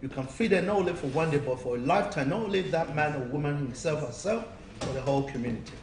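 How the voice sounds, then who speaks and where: A man's voice: fairly high pitch, fast. A man speaking as a business coach and mentor, giving earnest, persuasive interview answers and repeating phrases as he explains. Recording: echoey room, distant mic, faint noise.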